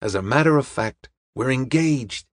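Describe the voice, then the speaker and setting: A man playing a cheerful, brisk young American man in an audiobook, informal and upbeat. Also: mid-range voice, animated, dry recording, clean studio sound, close to an everyday voice.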